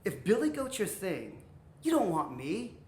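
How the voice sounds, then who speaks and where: A woman's voice: medium pitch, very animated, a little slow, darkish tone, roomy sound, a little hiss or hum. A woman voicing a cocky, scheming middle-brother billy goat in a storybook tale, slangy and persuasive, repeating words. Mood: wheedling. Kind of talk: animated comic folktale storytelling.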